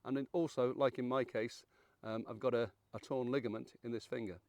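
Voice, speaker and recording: A man around seventy, croaky and hoarse from illness, hosting a fishing channel and walking through a rig in a chatty, folksy, self-deprecating way. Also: medium pitch, steady tone, a little fast, a little mellow, dry recording. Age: 70s. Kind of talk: casual how-to demonstration.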